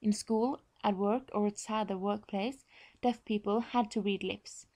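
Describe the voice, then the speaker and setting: Norwegian woman doing a prepared english presentation, norwegian accent, medium pitch. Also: steady tone, unhurried, dark tone. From Norway.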